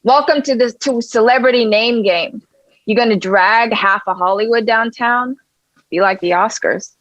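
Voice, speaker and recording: A woman's voice: a little high, normal speed, fairly bright tone, no room sound, lightly acted. An actress playing a sassy, incredulous woman in a TV drama table read, over a slightly dull video call. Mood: incredulous, sarcastic.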